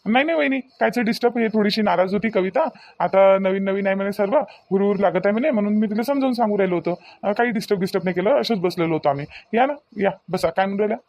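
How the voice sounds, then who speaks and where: Newlywed village husband male actor, cartoon family drama. High-voiced, brisk, polite and reassuring, colloquial Vidarbha Marathi accent. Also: darkish tone. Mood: polite, easygoing. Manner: colloquial, repeats himself soothingly.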